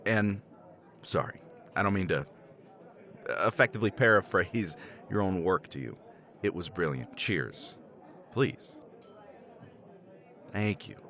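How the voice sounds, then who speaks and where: A man in a romance ASMR roleplay speaks as a professor, his voice low and slow. His pitch swings widely as he teases, laughs and swears without a care. He keeps it wry and self-mocking. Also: animated, fairly bright tone. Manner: wry, teasing, self-deprecating; swears casually, laughs often.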